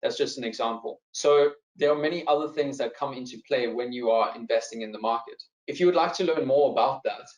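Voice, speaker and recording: A man with a South African accent, a financial adviser presenting a live online seminar and taking audience questions in a relaxed, friendly way. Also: mid-range voice, a little fast, few pauses, roomy sound, poor audio. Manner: relaxed and friendly, with frequent fillers and repeated words. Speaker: financial adviser hosting a webinar.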